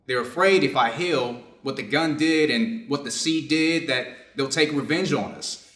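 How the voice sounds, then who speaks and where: A man's voice: medium pitch, measured pace, fairly bright tone, close to an everyday voice. A man playing a stern, conflicted Japanese doctor treating a wounded enemy in a staged play reading. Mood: stern, tense. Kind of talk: staged readers' theater acting. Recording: echoey room.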